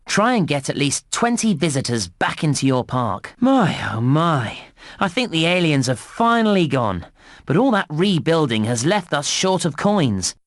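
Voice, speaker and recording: A man playing an upbeat, encouraging theme park guide, narrating a children's video game in an animated, instructive way. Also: on the high side, no room sound, studio quality. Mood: dismayed. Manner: animated, exclamatory, instructive children's-entertainer delivery.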